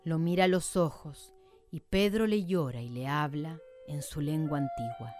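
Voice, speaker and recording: Low-pitched woman narrating a radio drama. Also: darkish tone, soft background music. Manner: measured, storytelling.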